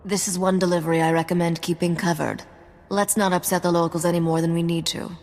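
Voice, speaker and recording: A woman voices a sardonic smuggling partner for a sci-fi game. Her voice is a bit low, her manner dry, teasing and businesslike, with a long hall reverb. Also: little pitch movement, quick pace, a little mellow, natural voice. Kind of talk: video game cutscene acting.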